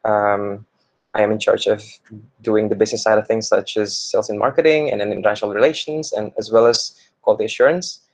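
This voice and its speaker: A young man, a student introducing himself informally and pausing often mid-phrase. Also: lowish voice, fairly even intonation, no echo, rough recording. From Indonesia. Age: young adult. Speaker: computer science student presenting. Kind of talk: informal self-introduction in a class presentation.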